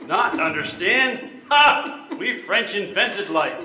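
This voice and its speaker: A man's voice: sits high, lively intonation, slow, bright, forceful tone, heavily acted. An older man playing a flamboyant, worldly French painter in an amateur readers theater play. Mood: gallant, boastful. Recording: echoey room, background hum.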